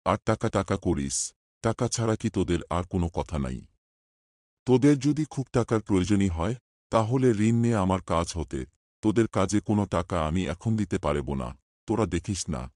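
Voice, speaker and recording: Man playing a deep, stingy village moneylender. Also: a little mellow, no room sound, studio quality. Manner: gruff, scolding, dismissive.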